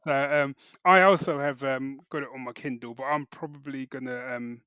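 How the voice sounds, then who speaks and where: A man's voice: medium pitch, fairly even intonation, moderate pace, bright, forceful tone, smooth, dry recording. A man co-hosting a podcast in casual, bantering conversation. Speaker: podcast co-host.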